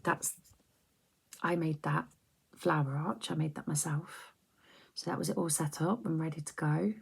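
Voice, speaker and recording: Woman, middle-aged, soft-spoken ASMR chat, husky and creaky, low voice, close mic. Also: steady tone, measured pace. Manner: soft-spoken, drifting into whispers, chatty and rambling. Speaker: ASMR creator.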